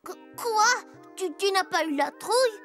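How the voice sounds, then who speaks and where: A performer voicing a gentle, earnest little ghost child in a children's cartoon, with expressive, drawn-out exclamations. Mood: eager. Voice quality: very high-pitched, childlike. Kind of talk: animated children's cartoon acting. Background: children's music.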